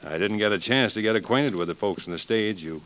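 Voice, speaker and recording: Male actor as polite young outlaw cowboy, lowish voice, halting American speech, hissy vintage radio. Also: natural voice. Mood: bashful, courteous. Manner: halting, soft-spoken courtesy. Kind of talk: 1940s radio acting, western.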